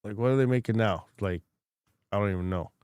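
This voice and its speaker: A man's voice: lowish voice, fairly quick, a little mellow, clean tone, dry recording, studio quality. Congested man hosting a casual livestream. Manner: rambling, frank, many fillers and restarts. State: congested, recovering from lost voice. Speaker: crypto mining livestream host. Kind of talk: casual livestream chat reading comments.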